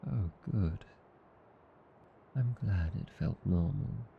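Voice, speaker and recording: A man with an Australian accent playing a tender, teasing vampire husband in an ASMR roleplay, soothing, coaxing and calmly hypnotic. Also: low-pitched, slow, vocal fry, muffled recording, slight background noise, full character voice. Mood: calm, satisfied. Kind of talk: ASMR roleplay, slow intimate scripted acting.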